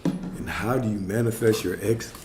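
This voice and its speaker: Reflective male coach for a livestream Q&A, lowish mellow voice, echoey room, faint rumble. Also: steady tone, moderate pace. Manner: reflective, answers viewer questions; frequent tag questions. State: voice getting worn from talking. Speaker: spiritual coach. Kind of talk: livestream Q&A talk.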